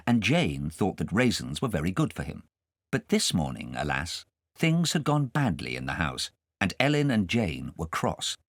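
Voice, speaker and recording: A man's voice: sits low, dry recording, studio quality. A man reading a children's story as scripted audiobook narration.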